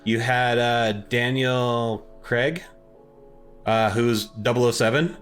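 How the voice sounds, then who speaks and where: A man's voice: medium pitch, steady tone, slow, a bit bright, soft background music. A man co-hosting a podcast, chatting casually about films and games in an informal, slangy way. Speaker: podcast co-host.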